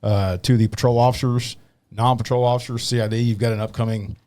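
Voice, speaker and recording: A man's voice: medium pitch, level tone, fast talker, a little mellow. A man hosting a podcast, talking in a loose, rambling way and swearing freely. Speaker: podcast host. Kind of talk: casual podcast conversation.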